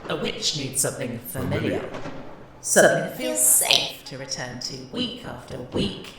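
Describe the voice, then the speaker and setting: A man's voice: mid-range voice, big pitch swings, a little slow. An actor playing a menacing, theatrical male witch who taunts and controls in an audio horror drama. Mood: smug menace. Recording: echoey, distant, faint noise.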